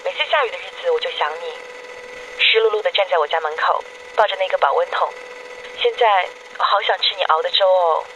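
Bright, girlish young girlfriend , acted by a woman. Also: on the low side, quick pace, close to an everyday voice. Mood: wistful, longing. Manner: affectionate, girlish, confiding. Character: devoted young girlfriend, sweet, wistful, longing. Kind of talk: radio drama voicemail monologue. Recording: dull noisy answering-machine message.

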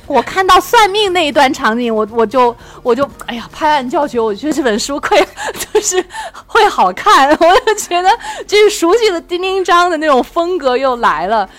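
A woman's voice: high voice, big pitch swings, normal speed, few pauses, a bit bright. A woman hosting a casual chat, talking in an effusive, eager way. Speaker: podcast host.

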